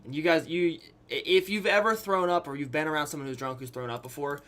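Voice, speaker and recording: A young adult man telling a personal story casually to his viewers, acting out quotes, pausing for effect and often checking that listeners follow. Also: on the high side, bright, forceful tone. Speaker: YouTube storyteller.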